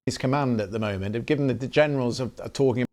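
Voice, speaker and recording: Male news interviewer, middle-pitched. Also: fast talker, darkish tone.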